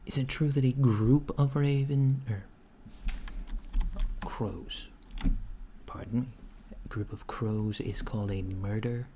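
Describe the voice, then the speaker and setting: A man doing soft-spoken ASMR roleplay as a polite, curious alien clerk, hesitant and apologetic, often slipping toward a whisper. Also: mid-range voice, steady tone, takes it slowly, long pauses. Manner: hesitant, apologetic, earnest questioning, drifting into whispers. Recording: noisy close recording with keyboard typing. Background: computer keyboard typing.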